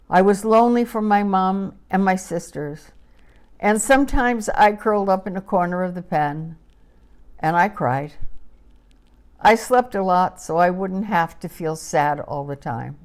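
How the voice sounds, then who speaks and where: A woman voicing a gentle, wistful little female puppy, telling a children's story in simple, childlike words. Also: fairly low pitch. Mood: wistful, lonely. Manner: slow, expressive, simple childlike phrasing. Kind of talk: children's audio story narration.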